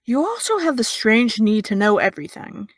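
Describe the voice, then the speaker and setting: A woman's voice: fairly high pitch, normal speed. Woman acting sardonic young American woman, Eve. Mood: sarcastic, cutting. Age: young adult. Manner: dry, teasing, deadpan. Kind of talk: audio drama dialogue.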